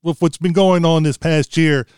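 A man's voice: on the high side, steady tone, moderate pace, darkish tone, smooth, dry recording, clean studio sound. A middle-aged American man hosting a podcast in a casual, conversational interview style. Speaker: podcast host interviewing a guest.

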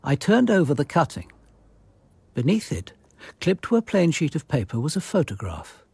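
Observant police detective guy narrating a radio mystery, husky creaky voice, grave, lively pitch swings. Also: moderate pace, no room sound, exaggerated voice. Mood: measured, grave. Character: measured, observant male police detective narrating his investigation.

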